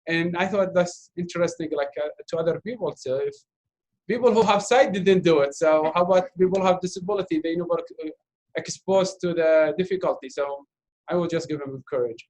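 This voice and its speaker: A man in his thirties, a native Arabic speaker from Iraq, answering interview questions in halting English full of fillers and restarts. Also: fairly high pitch, a little mellow, slight background noise, poor audio. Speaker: interview guest, doctoral student. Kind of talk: casual Q&A talk.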